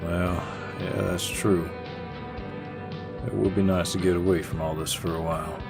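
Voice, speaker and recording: A man acting out an easygoing Southern country boyfriend for an intimate audio roleplay. His voice is very low and he takes his time, drawling gallant, teasing, folksy lines straight to the listener. Music plays clearly behind him. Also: steady tone. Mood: easygoing, curious. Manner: slow, gentlemanly drawl with folksy phrasing.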